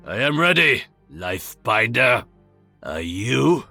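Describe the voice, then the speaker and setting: An actor playing a proud, stern master archer in a video game, with a Japanese accent and a formal, haughty delivery. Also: male voice, medium pitch, very animated, slow, creaky, pushed and bright, no room sound, soft background music, exaggerated voice. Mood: haughty, resolute. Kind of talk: video game character voice lines.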